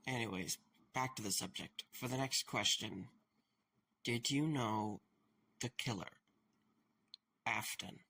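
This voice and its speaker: A man playing a wary, blunt questioner in an audio drama, with a gravelly, husky voice and a probing, sardonic manner. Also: medium pitch, slow, pauses often, sharp tone, exaggerated voice. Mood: cautious, probing.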